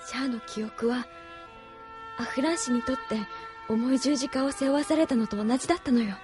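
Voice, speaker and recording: A woman voicing a high-voiced, outspoken young fighter. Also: fairly quick, music in the background, lightly acted. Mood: reproachful. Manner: impassioned, reproachful scolding. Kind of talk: 1990s anime audio drama acting.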